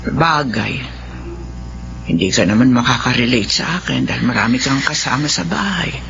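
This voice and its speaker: A woman's voice: rumbling low, expressive, moderate pace, vocal fry, slight background noise, exaggerated voice. An actress playing a lonely, forgetful, tender mother of sixty in a Filipino radio drama, sighing and drawing out her words. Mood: wistful, melancholy. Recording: dull lo-fi sound under loud music.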